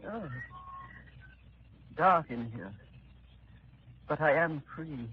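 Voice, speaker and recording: An actor playing a frail, weary old prisoner who stammers, in 1930s radio drama style, on a dull old broadcast. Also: male voice, fairly high pitch, expressive, drawn-out words, husky, exaggerated voice. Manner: hesitant, stammering.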